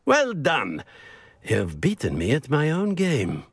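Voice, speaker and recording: A man's voice: fairly high pitch, wildly swooping pitch, slow pace, slightly rough, no room sound, heavily acted. A man playing a jovial older wizard professor in a video game, with a grandiose, genteel and playful manner. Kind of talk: video game character acting.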